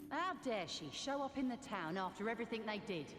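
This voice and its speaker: A woman's voice: on the high side, expressive, brisk, natural voice. Woman doing hostile townswoman in a game, indignant, echoey w/ music. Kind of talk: video game voice acting. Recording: echoey, long reverb, music behind.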